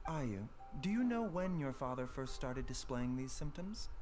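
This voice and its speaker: An actor playing a calm, composed young doctor in a video game, speaking politely and formally in a measured, reassuring way. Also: male voice, mid-range voice, music in the background, lightly acted. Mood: composed, clinical.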